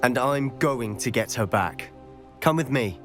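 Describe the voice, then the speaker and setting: A man acts as an intense British schoolboy wizard in a cinematic game scene. His delivery is animated and earnest, with a wry edge. Soft music sits behind him. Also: mid-range voice, a little slow, close to an everyday voice. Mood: determined. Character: earnest, stubborn teenage schoolboy wizard, protective brother. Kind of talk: video game cutscene acting.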